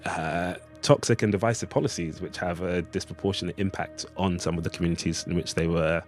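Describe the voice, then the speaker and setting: A man's voice: sits low, fairly quick, soft background music. A man speaking as an interview guest, reflecting on his creative work in a hesitant, thoughtful, hedging way. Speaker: playwright and artist, interview guest.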